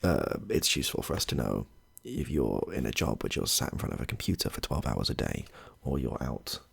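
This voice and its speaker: A man acts out an affable clinician in an ASMR roleplay, very breathy and husky with heavy vocal fry. He is soft-spoken and rambles hesitantly through his questions, reassuring as he goes. His delivery swings lively up and down. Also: rumbling low, brisk, exaggerated voice. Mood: attentive, mildly flustered. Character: chatty, affable male medical intake clinician with a questionnaire.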